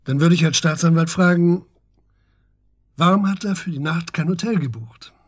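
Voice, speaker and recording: Radio drama actor as stern, methodical male investigator: husky, clipped, insistent, very dry studio sound. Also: fairly high pitch, moderate pace, no room sound, lightly acted. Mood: probing, sceptical. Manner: matter-of-fact, insistent, clipped questioning. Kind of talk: naturalistic German radio drama acting.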